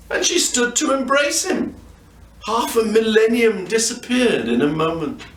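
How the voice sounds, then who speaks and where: Lively, higher-voiced man reading a story. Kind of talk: expressive children's story narration. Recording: roomy echo, distant microphone.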